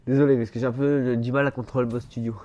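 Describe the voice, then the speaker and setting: A man's voice: medium pitch, flat delivery, quick pace, dark tone, lo-fi sound. Stuffy-nosed man rambling over gameplay. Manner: rambling, hesitant, many fillers and restarts. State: congested, stuffy nose. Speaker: gaming YouTuber. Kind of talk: casual gameplay commentary.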